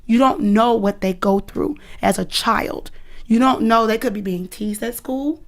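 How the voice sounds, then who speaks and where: A woman's voice: a little high, a little slow. A woman in her thirties, a dance coach, answering interview questions in an earnest, emphatic and protective way. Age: 30s.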